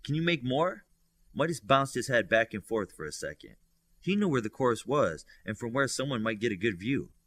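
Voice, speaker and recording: A man's voice: medium pitch, very animated, a little fast, a bit bright, no room sound. A man reading fiction as an audiobook narrator, in a scripted storytelling style. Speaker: audiobook reader. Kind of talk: scripted fiction narration with lifted character dialogue.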